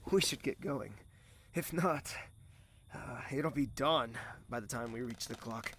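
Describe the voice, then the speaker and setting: An actor playing a gentle, wistful young man in an audio drama, soft-spoken and teasing. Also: mid-range voice, unhurried, slight background noise. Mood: calm.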